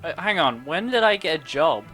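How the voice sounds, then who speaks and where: A man's voice: fairly high pitch, very animated, moderate pace. A man giving casual, amused and teasing reaction commentary as he hosts a gaming video. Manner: amused, teasing, laughs often. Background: game music.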